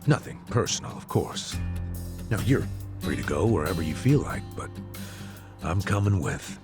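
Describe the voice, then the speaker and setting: An actor playing an aging detective near retirement, sly and persistent, with a gravelly, teasing manner. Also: male voice, low-pitched, sing-song, normal speed, music in the background. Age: older. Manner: teasing, chuckling, prying. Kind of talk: dubbed game cutscene acting.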